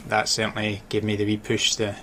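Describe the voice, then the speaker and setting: A man with a mixed Scottish accent from Dundee, Glasgow and Edinburgh, chatting thoughtfully and haltingly as a radio interview guest. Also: fairly low pitch, completely flat delivery, fairly bright tone. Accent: Scottish, mix of Dundee, Glasgow and Edinburgh. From Dundee, Scotland. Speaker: interview guest, author and sports journalist. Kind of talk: casual radio interview chat. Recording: clear background noise.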